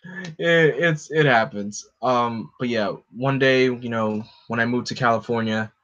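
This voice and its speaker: A young man with a deep voice hosting a casual livestream, rambling with lots of fillers and answering his chat. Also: a little slow, fairly bright tone. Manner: rambling, lots of "like" and "you know". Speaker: livestream host. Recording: dull lo-fi stream audio.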